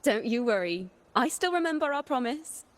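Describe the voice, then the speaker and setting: A young wandering bard from a video game. Her voice sits fairly high and she speaks with earnest, loyal warmth of feeling. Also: lively intonation, normal speed. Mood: earnest, hopeful. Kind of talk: game voice acting.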